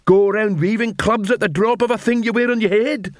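A man doing a dogged, high slow troll. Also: steady tone, dry recording, studio quality, close to an everyday voice. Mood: gruff. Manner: broken simple grammar, halting counting. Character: slow-witted male troll watchman, dogged.

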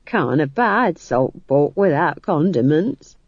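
A woman's voice: low-pitched, big pitch swings, slow pace, slightly rough, no room sound, dull audio, full character voice. A woman voicing a cheerful, bossy old witch in a storybook, blunt, folksy and chatty. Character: brash, bossy, cheerful old witch posing as humble wood-gatherer.

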